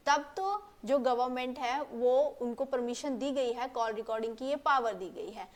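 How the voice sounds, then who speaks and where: A woman presenting an informal explainer lesson, rephrasing points as she goes and mixing English legal terms into her speech. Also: on the high side.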